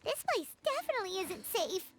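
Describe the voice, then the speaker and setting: A woman voicing a tiny, childlike companion sprite in a video game, squeaky, blunt and expressive. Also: fairly quick, heavily acted. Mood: surprised, wary. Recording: music behind the voice.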